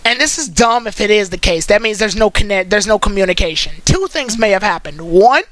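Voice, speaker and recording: Bright-voiced host chatting casually. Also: medium pitch, lively intonation, brisk. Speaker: host of an anime commentary stream. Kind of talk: casual chat recapping and critiquing a cartoon series.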